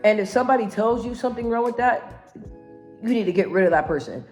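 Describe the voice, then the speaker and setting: A woman's voice: fairly high pitch, lively intonation, quick pace, a little mellow. Emphatic, self-assured woman over faint music. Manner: emphatic, repeats phrases, constant tag questions seeking agreement. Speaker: vlogger giving self-help advice. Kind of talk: casual motivational talk to camera.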